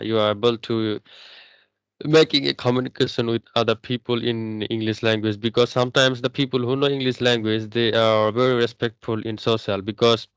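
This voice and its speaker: Young guy practicing english, halting, lots of uh and restarts, smooth clear voice. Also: medium pitch, fairly bright tone, dry recording. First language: Bengali. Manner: halting, many restarts and fillers. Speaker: English learner. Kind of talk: casual English practice chat.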